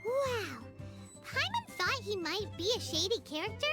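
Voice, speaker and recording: A woman voicing a small floating fairy girl companion in a video game, excitable and chattering. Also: very high-pitched, unhurried, music in the background, heavily acted. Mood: suspicious. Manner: excitable, chattering, talks about herself by name.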